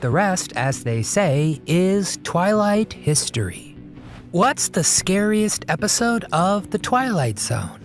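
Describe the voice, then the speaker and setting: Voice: medium pitch, sing-song, slow pace. A narrator reading a scripted documentary-style video in a presentational way. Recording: music bed behind the voice. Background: music.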